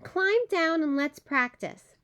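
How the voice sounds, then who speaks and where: A frustrated, under-the-weather male groundhog voiced by a woman in a children's storybook. The voice is high and swings widely as he calls out expressive instructions. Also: slow, heavily acted. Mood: instructing. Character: sick, frustrated male groundhog seeking a stand-in.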